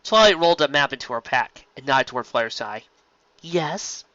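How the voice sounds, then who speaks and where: A woman's voice: rumbling low, expressive, fast, a bit bright, no room sound. A woman narrating a fan fiction story expressively. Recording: dull lo-fi close dry recording.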